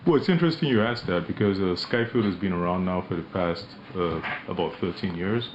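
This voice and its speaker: A man with a deep, imposing voice, a managing director answering questions in a radio interview, measured and hesitant with many fillers. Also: roomy sound, dull audio, background noise. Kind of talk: radio interview, relaxed business talk. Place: radio studio.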